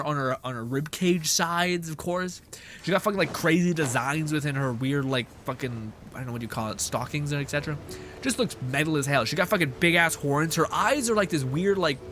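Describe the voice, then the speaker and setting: A young man streaming a video game, giving rambling, excitable commentary full of fillers, swearing and chat replies. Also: a little high, a little hiss or hum. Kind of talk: casual gaming stream commentary.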